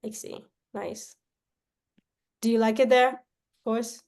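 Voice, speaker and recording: A woman from Myanmar, a salesperson pitching her service on a call, often tagging sentences with questions and restarting them. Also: fairly even intonation, takes it slowly, creaky, darkish tone. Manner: pitch broken up by frequent tag questions and restarts.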